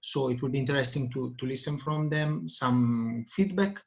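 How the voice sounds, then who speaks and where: A man's voice: medium pitch, moderate pace, gravelly, lo-fi sound. Hesitant man moderating a video call. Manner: hesitant, many fillers. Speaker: debate moderator. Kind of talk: online meeting moderation.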